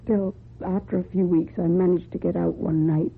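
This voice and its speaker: A woman acting in a radio drama as a timid, guarded young runaway, speaking in a subdued, husky and breathy voice. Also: on the low side, fairly even intonation, moderate pace. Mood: subdued, sincere. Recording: muffled, phone-like old radio recording.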